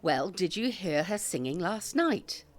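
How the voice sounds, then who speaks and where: Lively, bossy British old lady. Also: fairly low pitch. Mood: brisk, chirpy. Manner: brisk, busybody, theatrical. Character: bossy, fussy, chirpy elderly woman care-home resident. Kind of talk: audio drama acting.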